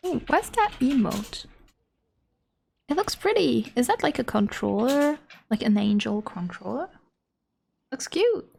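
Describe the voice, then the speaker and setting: A woman's voice: a little high, big pitch swings, unhurried, creaky, pauses often, darkish tone, breathy edge. A woman game streamer chatting casually on a live stream and reacting aloud to game dialogue.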